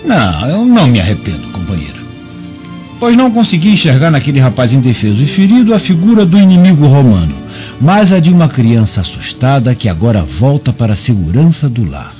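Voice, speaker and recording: An actor playing a compassionate, humble traveller, gentle and wistful, in a theatrical radio drama. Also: male voice, mid-range voice, very animated, fairly quick, close to an everyday voice. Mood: wistful, tender. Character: kindly, compassionate humble traveller chatting to his mule. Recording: dull lo-fi radio drama, music behind.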